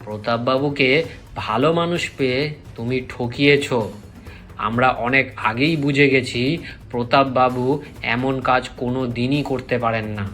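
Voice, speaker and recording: A man's voice: mid-range voice, fairly even intonation, normal speed, fairly bright tone, faint music behind, close to an everyday voice. Man voicing an emphatic, righteous village fellow. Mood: accusing. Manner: accusing, emphatic. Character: righteous young village man, suspicious and combative. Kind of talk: cartoon voice acting.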